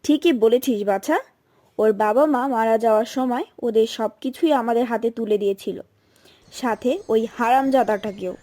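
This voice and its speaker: A woman plays a bossy village aunt in a cartoon, with a high, nagging voice. She is sharp-tongued and scheming, and music plays behind her. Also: moderate pace, no echo, studio quality, lightly acted. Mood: doting, scheming. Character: shrill, scolding, scheming aunt and doting mother. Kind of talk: Bengali cartoon voice acting.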